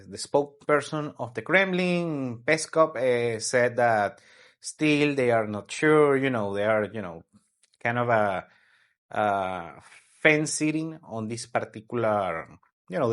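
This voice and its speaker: A man from Venezuela hosting a weekly news commentary, explaining events in a halting way as he searches for words. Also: medium pitch, a bit bright. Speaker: host.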